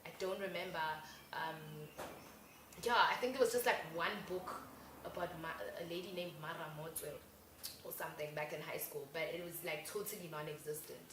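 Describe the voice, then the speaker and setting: A young South African woman co-hosting a casual talk show, lively and chatty. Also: fairly low pitch, moderate pace. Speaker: co-host. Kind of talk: casual chat. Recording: echoey room with faint noise.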